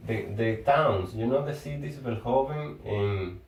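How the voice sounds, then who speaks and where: A Venezuelan man, a Spanish speaker and engineer, in casual conversation, talking haltingly and often restarting words as he searches for his phrasing. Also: medium pitch, crowd noise. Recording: echoey room, far from the mic.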